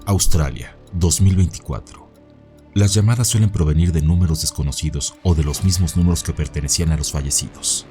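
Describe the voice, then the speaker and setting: A man's voice: low voice, a little fast, over music. A man narrating eerie paranormal mystery stories from a script, as the host.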